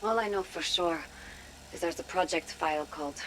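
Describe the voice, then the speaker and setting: An actress playing a wary, sardonic intelligence agent confiding in a game audio log, in a dry, frank, weary way. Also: female voice, on the low side, normal speed, slight background noise, close to an everyday voice, low-quality recording. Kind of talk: dramatic audio log monologue.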